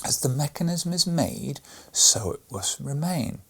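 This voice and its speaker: Stern elderly godfather, storybook figure, slow firm refusals, husky tone, a man doing the voice. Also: a little high, lively intonation, a bit bright, pitched above the performer's own voice, full character voice.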